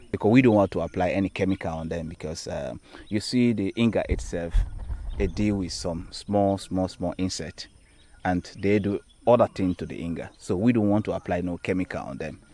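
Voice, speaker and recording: A man with a Sierra Leonean accent explains things in an unscripted, instructional way, deliberately and with emphatic repetition. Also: low-pitched, darkish tone. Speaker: agricultural trainer. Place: outdoors on a farm plantation.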